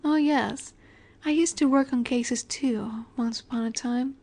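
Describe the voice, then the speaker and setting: A woman playing an ageless, possessive vampire in a romantic audio roleplay, speaking softly and breathily, often near a whisper, with a wistful, elegant, gently commanding manner. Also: high-pitched, unhurried. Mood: wistful, dreamy. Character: ageless female vampire boss's daughter, wistful, tender, possessive.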